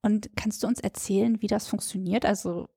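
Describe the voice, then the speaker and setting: A woman's voice: medium pitch, fairly even intonation, a little fast, vocal fry, dark tone, slightly rough, dry recording, studio quality. A woman hosting a skincare podcast, chatty and upbeat, leading the conversation with questions. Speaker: podcast host.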